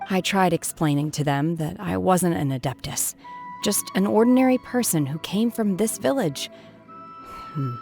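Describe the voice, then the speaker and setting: An actress voicing a reserved, melancholy young woman in a video game, measured and subdued. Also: on the low side, a little mellow.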